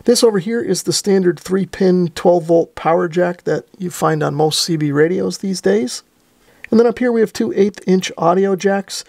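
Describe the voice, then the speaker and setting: やや高めでかすれ混じりの声の男性. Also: male voice, high-pitched, normal speed, gravelly, darkish tone. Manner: methodical, plain-spoken explaining. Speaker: radio reviewer and presenter. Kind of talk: product overview and demonstration.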